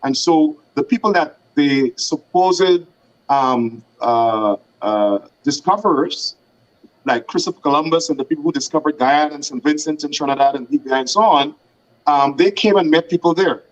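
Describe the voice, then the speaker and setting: A guest on a talk show, a man with a slightly high voice. He lays out his case in a measured, deliberate way, like a speech. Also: low-quality recording. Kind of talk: political argument on talk show.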